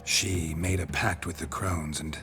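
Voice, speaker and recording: A world-weary fantasy game monster hunter. His voice is extremely low, and he speaks in a terse, guarded, matter-of-fact way. Music plays clearly underneath. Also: fairly even intonation, unhurried. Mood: grave. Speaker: game protagonist. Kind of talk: restrained video game voice acting.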